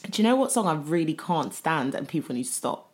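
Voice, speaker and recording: Deep-voiced woman in her thirties. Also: sing-song, brisk. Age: 30s. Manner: candid, swearing, laughs often. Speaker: podcast co-host. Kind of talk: casual chat.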